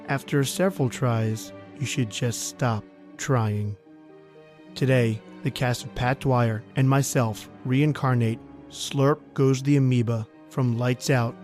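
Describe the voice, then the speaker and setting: A man hosting a podcast, reading a scripted, measured introduction to an old radio horror story. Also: medium pitch, a little mellow. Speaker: podcast host.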